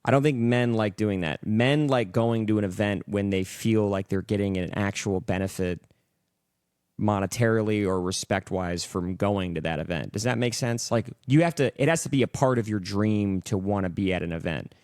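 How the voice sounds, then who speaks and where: A man's voice: on the low side, normal speed, a little mellow, smooth, dry recording, clean studio sound. A young adult man hosting a podcast, talking loosely and opinionatedly, with casual profanity. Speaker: podcast host. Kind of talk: casual chat.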